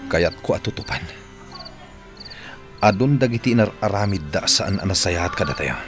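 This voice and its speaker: A man's voice: low-pitched, expressive, normal speed. A man playing a bitter, brooding older husband in an Ilocano radio drama, with music behind him.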